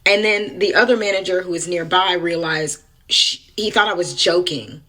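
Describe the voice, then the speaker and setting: A woman's voice: fairly low pitch, moderate pace, bright, forceful tone, low-quality recording. A woman telling a casual personal story in a blunt, indignant, sweary manner.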